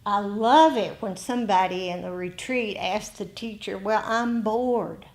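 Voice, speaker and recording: An American woman speaks at an unhurried, slow pace with a mid-range pitch. She teaches meditation informally to a group, talking conversationally in short phrases with frequent pauses. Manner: conversational, pausing often between short phrases. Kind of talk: informal dharma talk to a group.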